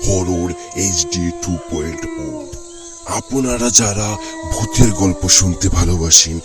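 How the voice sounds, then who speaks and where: A man hosting a horror story channel, addressing listeners in a dramatic, deliberate scripted intro with pauses. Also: sits low. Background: music.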